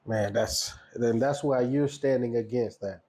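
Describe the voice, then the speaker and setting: A man's voice: mid-range voice, unhurried, mellow, slightly rough. Guy hosting a laid-back interview, teasing questions, normal middle voice. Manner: friendly, chatty, playful questioning. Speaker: host. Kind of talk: casual interview chat.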